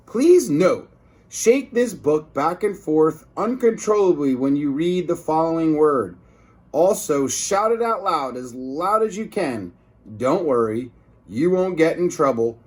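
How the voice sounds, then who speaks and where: A man's voice: a little high, slightly rough. A man reading a children's book aloud as a teacher, expressively emphasizing words for young listeners.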